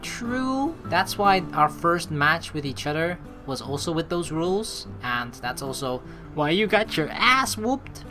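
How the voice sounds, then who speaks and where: A young man hosting a game playthrough, reading dialogue aloud and adding casual, slangy, expressive commentary. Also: a little high, a little slow. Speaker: let's play host. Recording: game music behind the voice.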